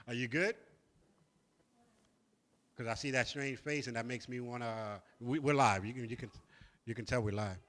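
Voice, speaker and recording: A man's voice: mid-range voice, measured pace, pauses often. Laid-back New York man hosting. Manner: relaxed, laughing, repeats words. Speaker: host. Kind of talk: casual chat. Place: studio.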